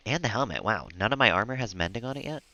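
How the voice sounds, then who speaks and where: A man chatting casually and calmly as he streams a video game, rambling a little as he plays. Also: fairly low pitch, fast talker. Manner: calm, relaxed, rambling. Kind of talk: casual chat while gaming.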